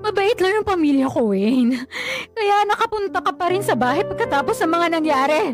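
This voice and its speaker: A woman's voice: very high voice, animated, fairly quick, faint music behind. An actress playing a young woman confronting her possessive ex, exasperated and pleading, in a radio drama. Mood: tearful.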